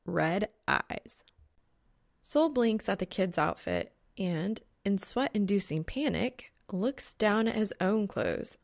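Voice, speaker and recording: A woman's voice: mid-range voice, big pitch swings, slow, creaky. A woman reading scripted fan fiction aloud as an audiobook narrator.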